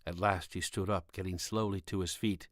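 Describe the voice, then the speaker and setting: A man's voice: low voice, fairly even intonation, moderate pace, dry recording. A man narrating scripted fiction as an audiobook reader, recorded on a condenser microphone in a home studio. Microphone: cardioid condenser microphone with pop filter.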